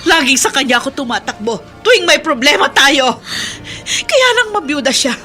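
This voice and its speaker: A woman's voice: high-pitched, sing-song, normal speed, sharp tone, faint music behind, exaggerated voice. A woman acting an anguished, pleading mother in an emphatic, melodramatic radio drama. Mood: anguished confession. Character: anguished mother confessing a long-hidden family secret.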